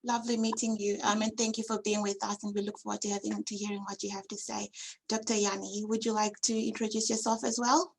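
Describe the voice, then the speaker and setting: Brief: female webinar moderator, gravelly fry in the voice, fairly even intonation. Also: mid-range voice, fast talker, a little hiss or hum, low-quality recording. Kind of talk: introducing panellists at an online webinar. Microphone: video call.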